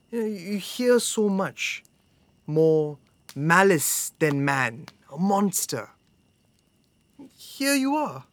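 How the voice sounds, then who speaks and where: A man's voice: a little high, fairly bright tone, dry recording. A man playing a stern, scornful young camp guard in an audio drama, with a formal, curt, intense manner. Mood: scornful wonder.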